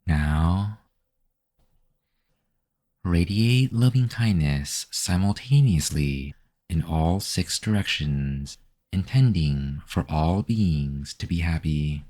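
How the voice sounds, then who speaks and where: A man narrating a guided meditation as the guide, in a measured, instructional manner. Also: low voice, expressive, mellow.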